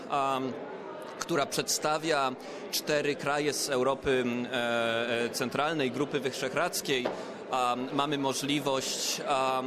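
A man representing his company, answering interview questions hesitantly with many filled pauses. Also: fairly high pitch. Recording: crowd chatter behind.

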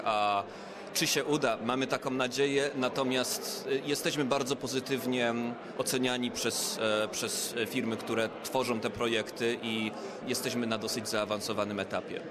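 A man representing his company, answering interview questions hesitantly with many filled pauses. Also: medium pitch, fairly even intonation. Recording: crowd chatter behind.

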